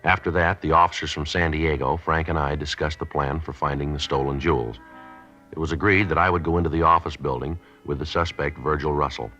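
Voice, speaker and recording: A deadpan 50s radio cop, super low voice, talks fast and clipped, old dull recording. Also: male voice. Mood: matter-of-fact. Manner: clipped, deadpan, matter-of-fact. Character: stoic police sergeant narrating his case, calm and businesslike. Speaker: police detective and narrator. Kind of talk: 1950s radio police drama acting. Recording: dull vintage radio recording, faint music.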